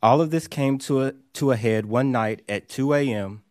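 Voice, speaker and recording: A man in his thirties, a small business owner, reading personal testimony at a hearing in a steady storytelling manner. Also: medium pitch, normal speed, no room sound, clean studio sound. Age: 30s. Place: hearing room.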